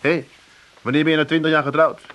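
An actor playing an emotional, middle-aged working-class husband in a comedy radio play. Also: male voice, a little high, little pitch movement, fast, a bit bright, close to an everyday voice. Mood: hurt, disappointed. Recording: noisy old radio play recording.

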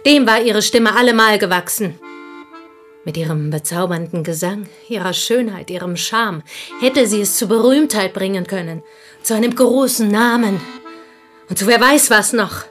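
An actress plays a possessive village schoolteacher in a radio drama, with a mid-pitched voice. She confides with deliberate intensity, often sinking into breathy hush. Faint music runs behind her. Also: lightly acted. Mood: fervent, urgent. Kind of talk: German radio drama acting.

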